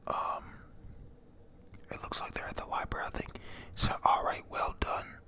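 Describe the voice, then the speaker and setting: A person whispering a casual, halting, slangy retelling of a manga chapter, panel by panel, reading out the characters' lines. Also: pushed and bright. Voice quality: breathy unvoiced whisper. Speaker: manga reader narrating.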